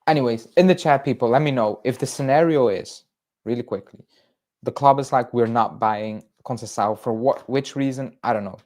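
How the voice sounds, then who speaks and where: A man's voice: mid-range voice, fairly quick, darkish tone. A young man from Belgium hosting a casual fan livestream, rambling through viewer chat with fillers and restarts. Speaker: football fan channel host.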